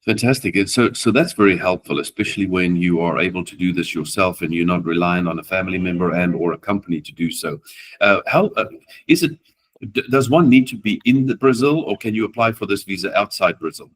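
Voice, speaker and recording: Very deep-voiced man hosting a webinar. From South Africa. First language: Afrikaans. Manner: halting, many filler sounds. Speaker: immigration attorney, webinar host. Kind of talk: webinar presentation.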